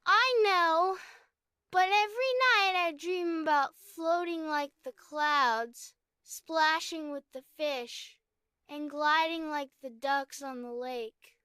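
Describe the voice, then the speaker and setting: Storybook snowman: wobbly, friendly, eager, bright and squeaky. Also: slow pace, dry recording, full character voice. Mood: wistful. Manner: cheerful, eager. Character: friendly hopeful young male snowman.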